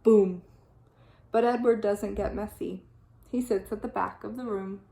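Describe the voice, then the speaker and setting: A woman teacher reading a children's storybook aloud in an expressive, animated way with deliberate phrasing. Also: medium pitch, gravelly. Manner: animated, deliberate phrasing with dramatic sound-effect words. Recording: echoey room sound.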